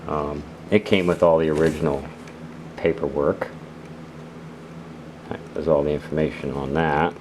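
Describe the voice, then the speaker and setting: An extremely low-pitched man hosts a casual product demonstration. He explains things in an unhurried, halting way, piece by piece. The recording carries clear background noise. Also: level tone. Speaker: host of a radio gear channel.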